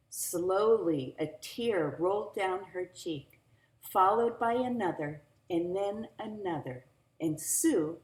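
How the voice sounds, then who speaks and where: Female storyteller for a children's picture book: unhurried, animated, teacherly, slightly low pitch, roomy sound. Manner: animated, teacherly, drawn-out phrasing. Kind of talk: expressive read-aloud with asides to young listeners. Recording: roomy home recording with echo.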